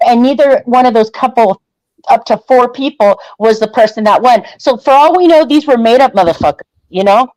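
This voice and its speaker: A woman's voice: on the high side, fairly quick, a little mellow, slight background noise, poor audio. A woman co-hosting a livestream, gossiping in casual chat and ranting bluntly with a lot of cursing. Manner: reads viewer comments aloud. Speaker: livestream co-host.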